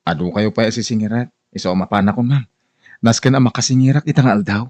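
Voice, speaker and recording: A man's voice: lowish voice, fast, a little mellow, natural voice. A man acting a hard-pressed working husband and polite bill collector in an Ilocano radio drama, speaking earnestly and conversationally.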